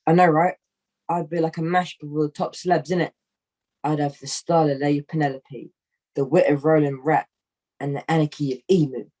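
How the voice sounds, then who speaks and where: A man's voice: fairly high pitch, fairly even intonation, a little fast, a little mellow, close to an everyday voice. Puppet show role: cocky young monkey lad, male performer, street slang, full of himself. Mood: cocky, breezy. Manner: slangy street talk, tags questions with innit. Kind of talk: online puppet show acting.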